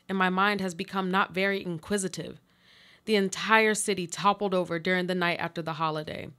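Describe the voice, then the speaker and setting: A woman playing a bitter, dazed earthquake survivor, speaking a measured literary monologue in a live radio play. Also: medium pitch, fairly bright tone, lightly acted.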